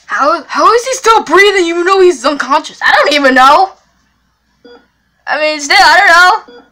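High-voiced, anxious cousin acted by a woman. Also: animated, pushed and bright, full character voice. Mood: concerned. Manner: repetitive, pleading, halting. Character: young, anxious, soothing cousin character. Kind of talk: amateur improvised character skit. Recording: music behind the voice.

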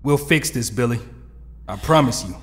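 A man's voice: medium pitch, expressive, moderate pace, big-room sound, close to an everyday voice. A man playing a hot-headed, loyal young superhero in an audio drama, with an emphatic, confrontational delivery. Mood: consoling.

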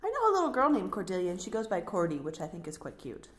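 A woman's voice: mid-range voice, huge pitch swings, fast talker. A woman co-hosting a podcast in a casual, chatty style. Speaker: podcast co-host. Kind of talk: casual chat.

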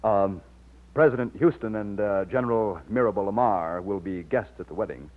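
An actor in an old radio drama playing an earnest, loyal, plain-spoken overseer from Texas. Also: male voice, on the low side, normal speed, close to an everyday voice. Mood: eager, courteous. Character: earnest, loyal Texan plantation overseer and war veteran. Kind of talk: old-time radio drama acting with narration. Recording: muffled lo-fi old radio recording.

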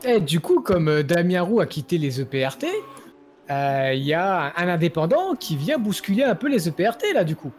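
A man's voice: a little high, very animated, moderate pace, a little mellow, slight background noise. Playful man co-commentating a sim race. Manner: teasing, playful, mock-formal asides. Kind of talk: joking live race commentary.

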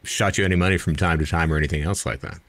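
A man's voice: sits low, steady tone, rapid-fire, a bit bright, no room sound. A middle-aged man with an Eastern Kentucky accent hosting a podcast, asking questions in an easygoing, plainspoken way into a studio mic. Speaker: podcast host. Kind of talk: interview questions. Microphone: studio mic with headphones.

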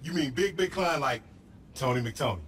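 A man's voice: medium pitch, animated, moderate pace, a bit bright, a little hiss or hum, natural voice. A man playing a brash, aggrieved hustler buddy in a video game, theatrical and boastful, with slangy trash talk. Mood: pleading. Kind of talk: video game comedy acting.

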